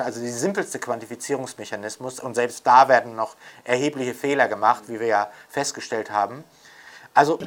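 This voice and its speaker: A man's voice: medium pitch, a bit bright. A man talking spontaneously and emphatically in an opinionated interview style, often repeating his points to drive them home. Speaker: interviewee, commentator on media theory.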